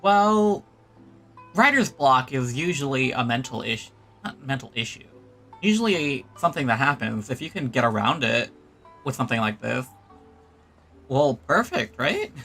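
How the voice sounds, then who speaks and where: A man's voice: medium pitch, sing-song, normal speed, bright, forceful tone. A man streaming a game, giving casual live commentary as he plays. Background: game music.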